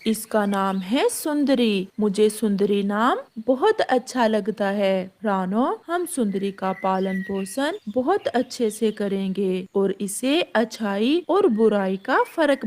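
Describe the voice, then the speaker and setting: A woman voicing a calm, kindly father bird in a children's cartoon, with a measured, advising manner. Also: medium pitch. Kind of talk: Hindi children's cartoon voice acting.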